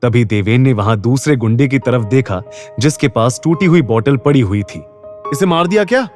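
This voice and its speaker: A man's voice: medium pitch, normal speed, a little mellow, soft background music. A man narrating an audio drama serial from a script in a suspenseful, dramatic storytelling style.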